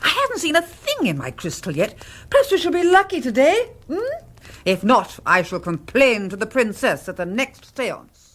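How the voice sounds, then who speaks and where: An actress playing a breezy, confident prima donna in a radio comedy, teasing and theatrical. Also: female voice, fairly high pitch, sing-song, moderate pace. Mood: breezy banter. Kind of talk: British radio comedy acting.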